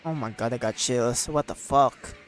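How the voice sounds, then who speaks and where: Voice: mid-range voice, moderate pace, slight background noise. A streamer reacting live to a game and reading its text aloud.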